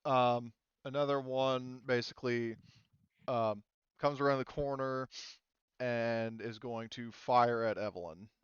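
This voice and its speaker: A man's voice: mid-range voice, fairly even intonation, measured pace, smooth, dry recording, muffled recording. A man acting as game master, casually refereeing a tabletop game and explaining rules step by step.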